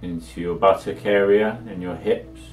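A man with a low, level voice guides a meditation in a measured, soothing way. He leaves long pauses between short instructions. The sound is echoey and set back from the microphone. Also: steady tone, a little mellow, distant mic.

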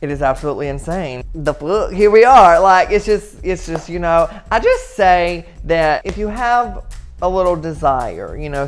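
A young man talks excitedly and playfully about his work. He sits in a backstage room and speaks into a lapel mic. Faint music plays under him. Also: on the high side. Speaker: comedian. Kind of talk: casual backstage interview.

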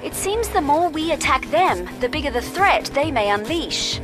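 A caring mission controller in a video game. Her voice is high and very expressive. She gives composed, earnest briefings over faint music. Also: normal speed. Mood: concerned.

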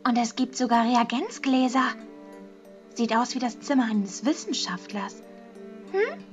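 A woman's voice: fairly high pitch, sing-song, unhurried, muffled recording, over music. A woman voicing a childlike, carefree, curious teenage girl in a German fan-dubbed anime audio drama.

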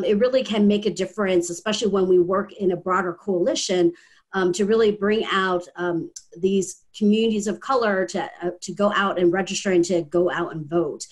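A woman in her forties, a nonprofit director speaking earnestly as a panel guest on a video call from home, with frequent ums. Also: medium pitch.

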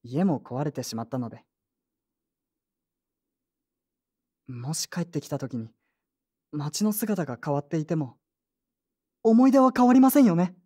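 A polite, earnest young man in a radio drama. The voice is soft-spoken and somewhat low, with a dark, relaxed tone and sincere delivery. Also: moderate pace. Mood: moved, grateful. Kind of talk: Japanese audio drama acting and first-person narration.

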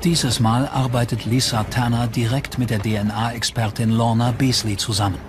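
A man's voice: medium pitch, level tone, moderate pace, noisy background. A man reading scripted true crime documentary narration in German-dub style as the narrator. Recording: music bed under voice. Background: prominent music.

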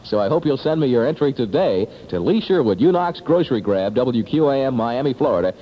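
A man's voice: mid-range voice, big pitch swings, brisk, slight background noise. A man working as a playful, showy radio disc jockey, talking in 1960s top-40 patter on an old AM broadcast. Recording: dull 1960s AM radio aircheck.

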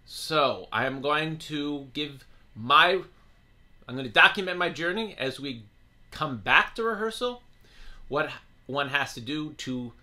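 A man's voice: on the high side, pushed and bright. A middle-aged man talking casually and unscripted to camera, rambling and often correcting himself as he goes. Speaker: community theater actor documenting rehearsals. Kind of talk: casual unscripted vlog talk. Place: small indoor room with bookshelves.

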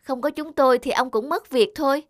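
A woman's voice: sits high, fairly quick, no room sound, studio quality, natural voice. A woman voicing a stubborn, smug little heart-shaped creature in a cartoon. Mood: defiant. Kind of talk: cartoon dialogue.

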